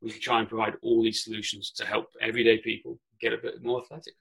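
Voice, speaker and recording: A man's voice: on the low side, completely flat delivery, fast talker, gravelly, a bit bright, breathy edge, rough recording. A Welsh man whose first language is Welsh, a podcast guest chatting casually from a spare room at home over a remote call, often restarting his words. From Wales. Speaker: podcast guest, company founder. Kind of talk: casual interview chat.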